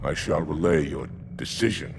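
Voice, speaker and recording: Low, gravelly alien warrior. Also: male voice, normal speed, noisy background. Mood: restrained, deferential. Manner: stern, formal. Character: proud, dutiful male alien warrior commander. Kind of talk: video game cutscene acting.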